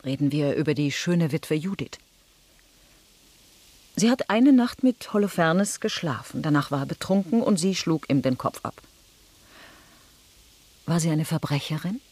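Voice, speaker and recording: An actress playing a worldly, bitter French marquise in a radio drama, eloquent, ironic and probing. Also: female voice, deep voice, animated, measured pace, pauses often, a little mellow, no echo. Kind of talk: German radio drama acting.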